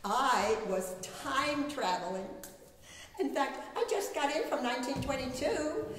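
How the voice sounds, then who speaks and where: A woman's voice: sits high, lively intonation, a little slow, fairly bright tone. A woman playing a breezy time-traveling grandmother in a live stage comedy. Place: small theater stage with audience, indoors. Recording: echoey theater, distant mic.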